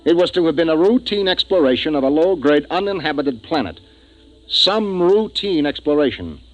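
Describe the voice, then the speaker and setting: An exasperated, gruff spaceship commander. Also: male voice, fairly high pitch, very animated, moderate pace, low-quality recording. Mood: wry narrating. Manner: barking, commanding, impatient. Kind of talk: 1950s radio acting with first-person narration. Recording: vintage 1950s radio, music behind.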